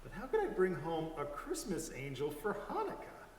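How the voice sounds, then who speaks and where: Animated male story reader, echoey hall. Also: fairly high pitch, moderate pace. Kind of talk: dramatic story reading. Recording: echoey hall, distant mic, background hiss.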